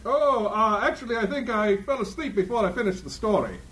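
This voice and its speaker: An actor playing a boastful, cheerful, bombastic gentleman hero in a comic audio drama. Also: high voice, expressive, fairly quick, poor audio. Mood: sheepish. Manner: bombastic, grandiose. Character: pompous, boastful, cheerful male hero adventurer.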